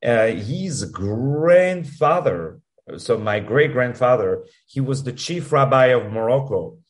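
A man with a French accent, a guest answering interview questions in a halting, effusive way with many restarts. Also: medium pitch, animated. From France. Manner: halting, repeats words, warm and effusive. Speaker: musical producer guest.

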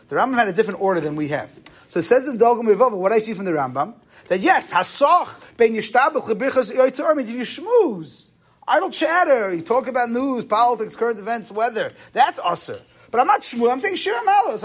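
High-pitched man teaching a religious lesson. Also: breathy edge. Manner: question-and-answer explaining, Yeshivish phrasing with Hebrew terms mixed in. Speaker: rabbi giving a lecture. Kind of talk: informal religious lesson, explaining texts.

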